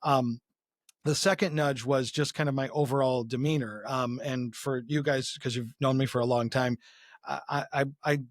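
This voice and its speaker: A man answering as a podcast guest, telling anecdotes casually and haltingly as he thinks aloud. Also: medium pitch, fairly even intonation, no echo, studio quality. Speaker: podcast guest, company chief executive.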